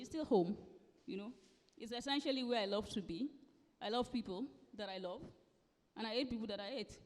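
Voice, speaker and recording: A woman with a Nigerian accent, around forty, speaking emphatically as a guest author in a live conversational interview, often repeating phrases for stress. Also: on the high side. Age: late thirties to forties. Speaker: guest author at a book festival. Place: live festival event hall. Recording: echoey hall with long reverb.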